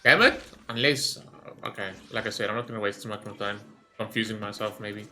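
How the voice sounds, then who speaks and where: A man's voice: lowish voice, fairly even intonation, brisk, sharp tone, slight background noise. A man giving casual gameplay commentary, rambling as he thinks aloud and swearing freely. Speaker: gaming streamer recording a reaction video.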